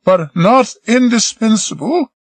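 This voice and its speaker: A man's voice: high voice, sing-song, unhurried, dry recording, voice pushed up, exaggerated voice. A man putting on a spirited, insistent young woman. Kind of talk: audiobook reading.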